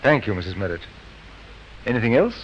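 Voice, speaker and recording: Probing inspector with lively intonation. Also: male voice, lowish voice, brisk, breathy edge. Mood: businesslike. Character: firm, probing, courteous male police inspector. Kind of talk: 1950s radio drama acting. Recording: dull old radio recording, loud music.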